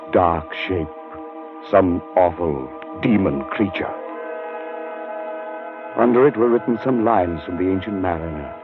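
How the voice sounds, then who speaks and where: Deep-voiced haunted man. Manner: dramatic, deliberate, theatrical delivery. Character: haunted, dread-ridden man narrating his own doom. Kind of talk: vintage radio horror acting, first-person narration. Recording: dull old radio recording, music behind.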